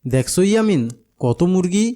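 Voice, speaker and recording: Cartoon older companion, male performer: patient, coaxing, Bangladeshi accent, soft-edged lighter-pitched voice. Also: lively intonation, normal speed. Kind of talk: cartoon dialogue.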